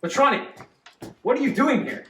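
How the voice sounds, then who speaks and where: A man's voice: very high-pitched, big pitch swings, moderate pace, sharp tone, full character voice. A man playing a bossy, lustful groom in an amateur comedy skit, melodramatic and whiny. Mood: curt. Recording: echoey room, faint noise.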